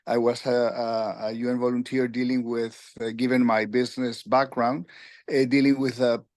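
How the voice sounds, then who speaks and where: A man in his sixties from Peru, a retired UN official telling his career story in an interview, with halting speech full of fillers and restarts. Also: medium pitch, flat delivery, darkish tone, no room sound, studio quality. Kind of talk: conversational interview.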